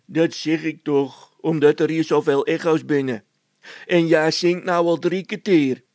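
A man's voice: on the high side, fairly even intonation, unhurried, a little mellow, dry recording, pitched above the performer's own voice, natural voice. A man voicing a peevish, spiteful road labourer who is easily irritated, in a storybook tale.

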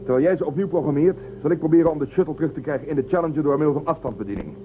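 An actor playing a decisive starship commander in a radio drama, businesslike and commanding. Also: male voice, a little high, very fast, background noise, natural voice. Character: decisive, brisk male starship commander giving orders. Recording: tinny phone-like sound.

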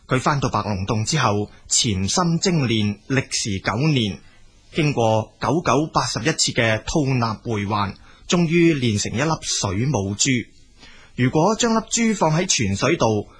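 A man's voice: medium pitch, fairly bright tone, husky. A man with a Cantonese accent narrating a scripted folk tale as a storyteller.

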